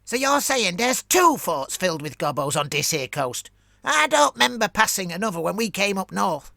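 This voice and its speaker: A man's voice: fairly high pitch, a little slow, gravelly, pushed and bright, no room sound, studio quality, voice pushed up, heavily acted. A man voicing a nervous male goblin scout boss who mocks with shaky bravado. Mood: mocking.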